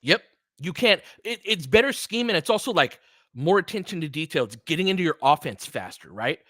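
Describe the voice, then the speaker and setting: Bright-voiced man calling basketball casually. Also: a little high, big pitch swings, a little fast, no echo, clean studio sound. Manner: conversational, opinionated, answers chat commenters by name. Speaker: fan play-by-play host. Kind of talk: casual audio play-by-play and fan chat.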